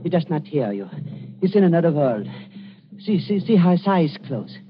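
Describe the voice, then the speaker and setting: An actor playing a nervous, pleading German doctor, a cowardly conspirator in an old-time radio drama. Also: male voice, on the high side, very animated, normal speed. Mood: wary. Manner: agitated, pleading. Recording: muffled vintage radio recording with music.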